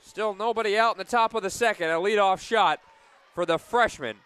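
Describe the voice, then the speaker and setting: A man doing live play-by-play for a softball radio broadcast outdoors, punchy on big plays and conversational between them. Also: sits high, brisk, clear, resonant tone. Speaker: play-by-play sports announcer.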